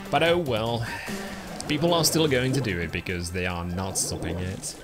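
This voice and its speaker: A man's voice: lowish voice, steady tone, moderate pace. A man streaming a video game, chatting casually with viewers and rambling with many ums and uhs. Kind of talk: casual live-stream commentary while gaming. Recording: game music playing behind. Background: game music.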